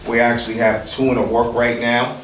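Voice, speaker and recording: A man's voice: medium pitch, flat delivery, fairly quick, a bit bright, slight background noise. A man from Liberia in his thirties presenting an informal real estate workshop, talking conversationally with lots of "right?" and "um". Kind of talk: informal seminar talk. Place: room in a house, with a seated audience. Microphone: far camera. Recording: echoey room, picked up from a distance.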